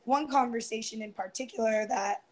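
A woman in her twenties sharing personal experiences on an online call, hesitant with many fillers, her voice going hoarse. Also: a little high, moderate pace. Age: 20s. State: losing her voice. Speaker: guest.

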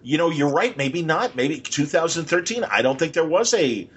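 A man's voice: fairly high pitch, big pitch swings, normal speed, fairly bright tone, slight background noise. A man interviewing a guest in casual conversation as a podcast host. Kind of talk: casual chat, reacting to the guest.

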